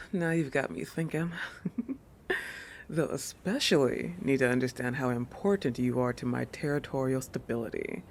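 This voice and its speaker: Woman voicing a territorial shark girl, unhurried, teasing, possessive, whispery asides, intimate roleplay audio, noticeable background rumble. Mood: smug, possessive. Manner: teasing, self-assured, breathy asides dropping into whispers.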